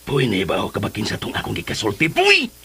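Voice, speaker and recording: An actor with a Filipino accent playing a scheming, lovestruck male villain in a radio drama, speaking in a rough, exaggerated way. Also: lowish voice, huge pitch swings, sharp tone, heavily acted. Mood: gleeful scheming. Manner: slow, exaggerated, swinging delivery.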